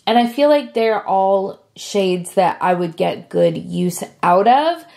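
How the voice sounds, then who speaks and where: Sick woman with a rough, sniffly voice. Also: medium pitch, animated, slow pace, warm tone. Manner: chatty and friendly, with frequent fillers. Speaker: beauty vlogger. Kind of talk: casual talk to camera.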